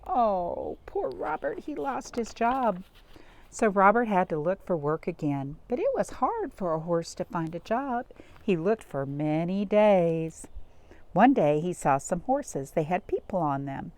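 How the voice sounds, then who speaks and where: A woman reading a picture book aloud to young children, expressive, with dramatic gasps and questions to her listeners. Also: lowish voice, a little slow. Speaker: storytime reader.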